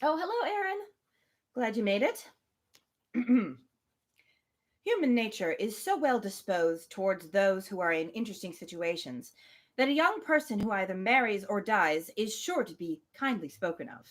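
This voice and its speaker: A woman's voice: mid-range voice, big pitch swings, normal speed. A woman hosting a live book reading, reading expressively and dropping in casual, amused asides. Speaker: host reading a novel on a livestream.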